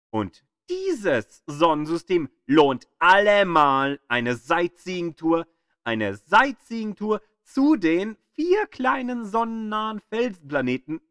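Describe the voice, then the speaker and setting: A man's voice: sits high, a bit bright, dry recording. Guy doing scripted documentary narration, takes his time, storyteller emphasis, dry close sound. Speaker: audio guide narrator.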